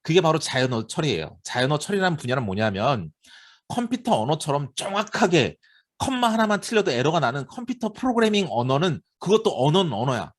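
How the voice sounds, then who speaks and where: A man's voice: a little high, brisk. A man in his forties with a Seoul accent, giving a university lecture in a chatty way and often asking his students rhetorical questions. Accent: Seoul Korean. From Seoul, South Korea. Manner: lively, talkative, addresses students directly with rhetorical questions. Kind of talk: classroom lecture.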